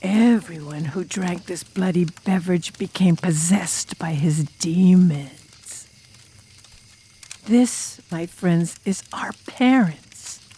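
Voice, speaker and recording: A woman voicing an enigmatic drum major student telling a demon horror tale in an audiobook, with ominous, drawn-out, dramatic delivery. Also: mid-range voice, a little mellow, breathy edge. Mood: grave, menacing. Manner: ominous, drawn-out, dramatic, sometimes dropping to a whisper. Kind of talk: audiobook ghost story narration in character.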